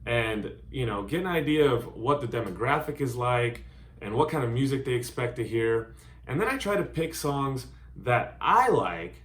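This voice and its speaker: A man's voice: medium pitch, fairly bright tone. A man in his thirties, born in Hungary, giving an earnest, carefully worded introduction of himself as a DJ and music producer. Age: 30s. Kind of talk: scripted self-introduction to camera. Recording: roomy echo.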